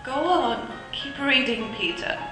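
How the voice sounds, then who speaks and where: An actress playing a gentle working-class mother holding back grief in a radio drama. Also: high-pitched, lively intonation, slow pace, exaggerated voice. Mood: grieving. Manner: tender, holding back tears. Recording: very roomy reverb with music.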